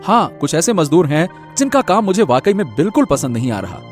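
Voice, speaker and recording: A man's voice: on the high side, very animated, brisk, soft background music. A man playing a respectful factory manager in a cartoon story, explaining things deferentially to his boss. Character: deferential, trusted male factory manager and devoted father.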